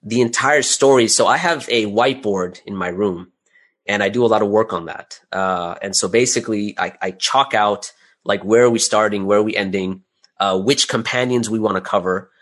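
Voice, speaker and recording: Male interview guest, lower register. Talks through his creative process conversationally, point by point, asking himself questions. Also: normal speed, a bit bright. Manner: explains step by step, often posing rhetorical questions.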